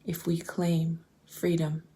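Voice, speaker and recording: A woman with a low, mellow voice leads a guided meditation. Her delivery is very slow and nearly level in pitch, soft and breathy to the edge of a whisper. She poses gentle, open questions and leaves space after them. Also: monotone, slight background noise. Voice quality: soft, breathy, often slipping toward a whisper. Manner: gentle, deliberate, posing open questions with pauses. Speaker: guided visualization host.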